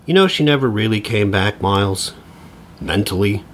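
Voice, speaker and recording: A middle-aged man playing a sarcastic, frazzled divorced father in a comedic audio drama, husky and exasperated. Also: lowish voice, expressive, moderate pace. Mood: sardonic. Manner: sardonic, exasperated.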